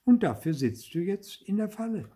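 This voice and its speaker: A sweet fairy sister from a children's storybook, voiced by a man. She chides gently and calmly in a mellow, slightly husky tone that rises and falls expressively. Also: sits high, moderate pace, voice pushed up, heavily acted. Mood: gently chiding. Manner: calm, gently admonishing. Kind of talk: dramatised children's storybook reading.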